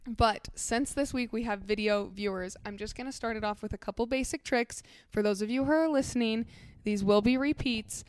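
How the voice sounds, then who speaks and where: Bubbly woman with a smooth voice. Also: fairly high pitch, moderate pace, a little mellow. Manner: bubbly, eager storyteller. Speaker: podcast co-host. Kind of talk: casual chat.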